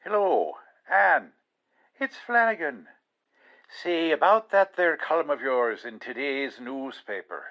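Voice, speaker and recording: A man's voice: fairly high pitch, very animated, slow. An actor playing a blunt, wry Irish policeman in a 1940s-style radio drama, heard through a telephone filter. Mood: stern, wry. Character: gruff, wry Irish male police officer. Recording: dull, band-limited phone line.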